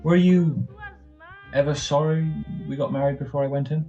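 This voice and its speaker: A man's voice: a little high, steady tone, moderate pace, dark tone, natural voice. A man playing an affectionate, teasing young husband back from military service in a 1940s-style radio drama, wistful and a little hesitant. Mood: wistful, earnest. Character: tender, playful young returned serviceman husband. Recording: dull muffled audio, faint music.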